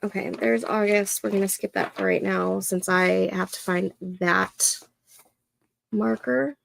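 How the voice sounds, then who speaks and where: A woman in her thirties hosting a casual co-working stream, chatting slowly and a little nasally as she works through her planner. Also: mid-range voice, steady tone, creaky. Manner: slow, chatty, talks to herself while setting up a planner. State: congested, a bit nasal. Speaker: co-working livestream host. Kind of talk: casual chat while working.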